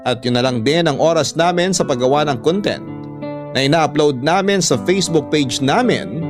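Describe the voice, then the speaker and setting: A man's voice: a little high, expressive, moderate pace. A man with a Filipino accent reading a scripted first-person story as a radio drama narrator. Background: background music.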